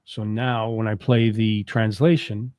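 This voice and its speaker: A man's voice: lowish voice, little pitch movement, measured pace, a little mellow, no echo. Man talking through a demo, dry sound. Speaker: co-host demonstrating software. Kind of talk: casual live tutorial walkthrough.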